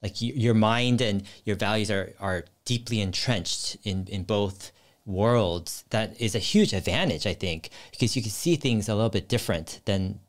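A man's voice: on the low side, moderate pace. A man hosting a casual interview, curious and affirming as he asks his guest questions. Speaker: host.

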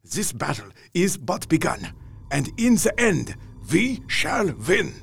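An actor playing Van Helsing, an elderly vampire hunter, speaking in broken, grandly declaimed English. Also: male voice, high-pitched, sing-song, slow pace, vocal fry, loud music behind the voice, heavily acted. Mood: resolute. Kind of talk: audio drama acting.

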